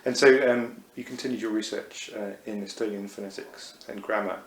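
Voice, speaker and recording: A man's voice: lowish voice, steady tone, brisk. A man hosting an interview series, asking questions with lots of ums and uhs. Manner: hesitant, many ums and uhs. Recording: echoey room, faint noise.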